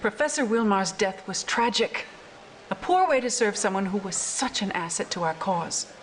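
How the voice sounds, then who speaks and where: A woman acting a stern, formal resistance officer in a game cutscene, speaking in a measured way over faintly noisy audio. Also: mid-range voice, expressive, lightly acted. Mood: coolly stern. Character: cool, formal female resistance officer giving briefings.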